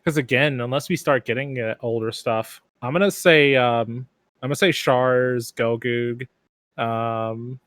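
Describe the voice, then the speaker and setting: A man's voice: medium pitch, steady tone, measured pace, fairly bright tone, no echo. A man bantering casually as a panelist on a gaming podcast.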